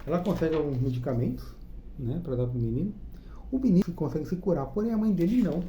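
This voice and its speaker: A man's voice: on the high side, lively intonation, quick pace, warm tone, a little hiss or hum. A Brazilian man with a Brazilian Portuguese accent hosting his own channel, giving a chatty, enthusiastic review of a comic book and checking in with the listener with frequent tag questions. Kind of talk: casual spoken review.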